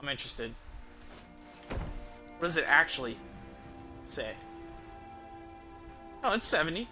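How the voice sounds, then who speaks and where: A man's voice: a little high, sing-song, a little slow, bright, forceful tone, soft background music. A man giving casual, rambling video game commentary, explaining things as he plays and swearing offhandedly. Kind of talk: casual gameplay commentary with tips.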